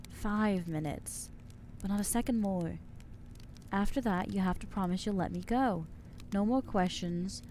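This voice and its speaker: Woman doing a nervous vampire girl for asmr, mellow and slow, stammers and rambles, keeps putting herself down. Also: mid-range voice, a little hiss or hum, lightly acted. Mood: flustered. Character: anxious young female vampire, awkward and rambling. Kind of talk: ASMR audio roleplay.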